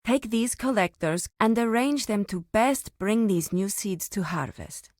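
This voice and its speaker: A woman playing a reverent, solemn druid of an ancient tribe in a fantasy video game, speaking formally with deliberate pauses. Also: mid-range voice, expressive, a little mellow, no room sound, studio quality, close to an everyday voice. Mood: reverent wonder.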